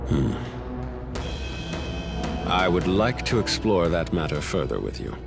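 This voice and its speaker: Brief: a stately elder leader, deep and gravelly, formal, with loud game score behind. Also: male voice, big pitch swings, loud music behind the voice, full character voice. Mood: explaining. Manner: measured, formal, expository. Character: stately older male leader, calm and grave. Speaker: leader of an order. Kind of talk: game cutscene voice acting.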